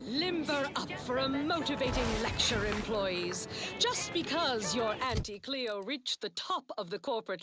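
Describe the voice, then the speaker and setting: A woman playing a brash, folksy corporate tycoon in a video game, giving theatrical, grandiose propaganda pep talks and sales pitches. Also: a bit bright, no room sound. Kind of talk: theatrical corporate motivational broadcast.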